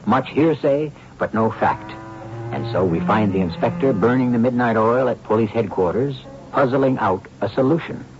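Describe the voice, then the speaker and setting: A man's voice: mid-range voice, animated, moderate pace, over music. A man hosting a radio mystery, giving formal, theatrical scripted narration. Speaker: radio drama host and narrator. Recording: dull old radio recording.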